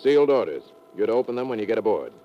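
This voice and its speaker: Casting: easygoing but secretive naval commander, animated intonation, radio adventure, clearly noisy vintage track. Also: male voice, on the low side, racing, muffled recording. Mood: businesslike. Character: brisk, easygoing male Navy submarine skipper, secretive. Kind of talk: 1950s radio drama acting.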